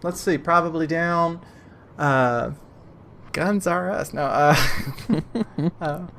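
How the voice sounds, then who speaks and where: A game master with a mid-pitched voice runs a tabletop game in a casual, improvised way. The delivery is hesitant, trying out ideas aloud and revising them along the way. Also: slow pace, fairly bright tone, a little hiss or hum.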